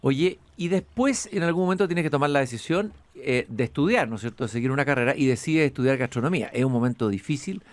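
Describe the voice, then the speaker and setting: A man's voice: mid-range voice, lively intonation, fast talker, few pauses, dry recording. A man hosting an interview outdoors in a garden, hesitant and repeating himself, with easy laughs. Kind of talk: conversational interview.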